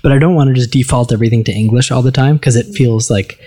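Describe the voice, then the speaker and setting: An American man chatting at a table with friends, pausing and restarting as he thinks. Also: medium pitch, dark tone, no echo. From United States. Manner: hesitant, restarts often. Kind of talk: casual conversation. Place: seated at a table with others.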